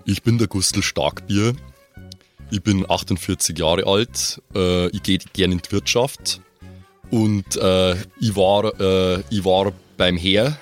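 A man's voice: low-pitched, fairly even intonation, slow pace, faint music behind. A man voicing a hearty middle-aged circus strongman and ex-soldier, plain-spoken and rambling, with a Bavarian accent. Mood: hearty, proud. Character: hearty 48-year-old male circus strongman, maimed ex-soldier.

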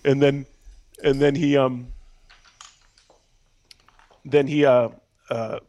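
A man's voice: medium pitch, flat delivery, takes it slowly, dark tone, no echo. An American man from Minnesota talking casually as an interview guest, rambling and often saying "you know". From Minnesota, United States. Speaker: podcast guest.

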